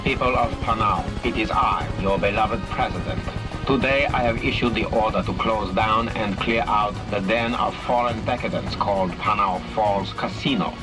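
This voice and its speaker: A man's voice: on the low side, normal speed, over music, natural voice. An actor playing a pompous, menacing dictator giving an oratorical address to his people in a video game. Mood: imperious, scornful. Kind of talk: propaganda broadcast in a video game.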